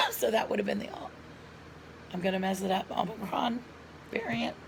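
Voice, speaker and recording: A middle-aged woman vlogger talks straight to her viewers in an earnest, chatty way. Her voice is hoarse and gravelly with a lot of vocal fry, and she keeps breaking off to cough. She is recorded at home on a camera mic, with faint room ambience behind her. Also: mid-range voice, very animated, normal speed, fairly bright tone, slight background noise. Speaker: lifestyle vlogger. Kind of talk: casual personal storytelling to camera.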